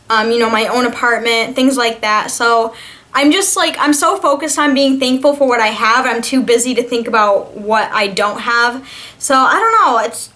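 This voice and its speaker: A young woman of twenty speaks in a fairly high voice. She talks in an informal, chatty vlog style, as someone taking questions from her viewers would. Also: pushed and bright. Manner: chatty, informal, reads questions aloud then answers. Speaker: vlogger answering viewer questions. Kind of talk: casual Q&A vlog chat.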